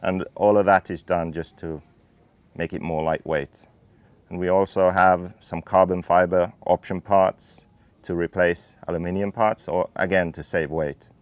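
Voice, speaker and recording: Deep-voiced Finnish man in his thirties. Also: steady tone, no echo. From Finland. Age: 30s. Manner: measured, technical explaining. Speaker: RC racing team owner and driver. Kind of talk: interview talk about his car.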